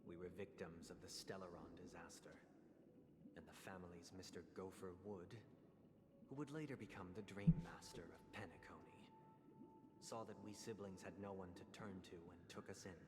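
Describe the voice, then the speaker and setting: An actor playing a composed young man who calmly recounts his past choices in a soft, measured way. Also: low voice, noisy background, close to an everyday voice. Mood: solemn. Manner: measured, solemn, softly spoken. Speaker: game character narrator. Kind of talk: scripted game voice acting. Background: game music.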